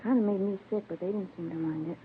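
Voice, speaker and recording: A woman acting an innocent high school girl in an old radio crime drama. She speaks earnestly and a little hesitantly, trailing off into afterthoughts. The sound is narrow and tinny with faint noise. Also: fast talker, close to an everyday voice. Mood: uneasy, earnest. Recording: tinny, faintly noisy vintage radio recording.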